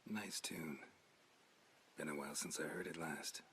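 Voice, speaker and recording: A grim hired monster slayer for a fantasy game: bottom-low, gravelly, clipped lines. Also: male voice, a little slow, bright, forceful tone, a little hiss or hum, exaggerated voice. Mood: grim, calm. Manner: terse, laconic. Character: weary gruff male witcher, hired monster hunter. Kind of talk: cinematic video game acting.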